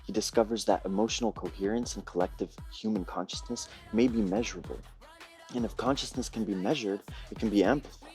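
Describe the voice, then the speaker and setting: A man giving a prepared film pitch on a video call in a measured, earnest storytelling way. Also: a little mellow, soft background music. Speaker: filmmaker pitching a feature film. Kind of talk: prepared pitch presentation, read narration.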